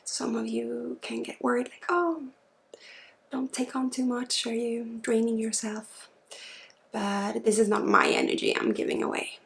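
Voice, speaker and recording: A woman gives a spiritual ASMR healing session, speaking softly and informally with pauses and often dropping into a breathy whisper. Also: fairly high pitch, steady tone. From Sweden. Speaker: spiritual healer and ASMR host.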